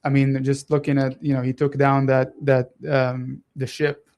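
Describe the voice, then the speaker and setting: A man in his thirties with a soft Israeli-Italian accent, a native Hebrew speaker, talking casually and animatedly as a radio co-host. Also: medium pitch. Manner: animated, talks fast with many restarts and laughs. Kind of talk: casual political chat.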